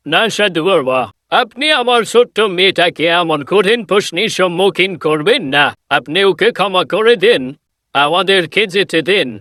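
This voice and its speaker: A man's voice: sits high, moderate pace, sharp tone, dry recording. A man doing an anxious poor woodcutter father. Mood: humble. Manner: pleading, tender.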